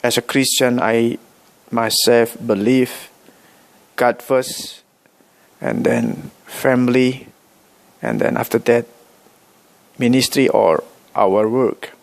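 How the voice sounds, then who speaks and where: A middle-aged man from Indonesia speaking English with an Indonesian accent, addressing his vlog viewers in slow, halting sentences full of pauses and self-corrections. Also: medium pitch, creaky. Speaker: vlogger. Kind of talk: direct-to-camera vlog address.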